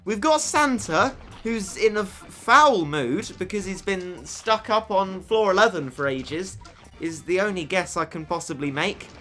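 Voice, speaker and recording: Lively, bright young man gaming. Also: high-pitched, measured pace, faint music behind. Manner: excitable, cheeky, stammers on repeats. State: losing his voice. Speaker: gaming YouTuber. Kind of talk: mobile game commentary.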